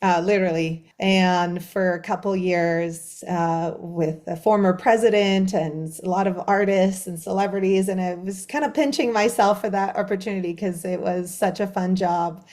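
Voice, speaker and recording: A woman's voice: on the low side, steady tone, moderate pace, few pauses, a little mellow. A middle-aged woman from Quebec City whose first language is French, a guest author telling her story in a bubbly, rambling way with many fillers. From Quebec City, Canada. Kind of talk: conversational storytelling.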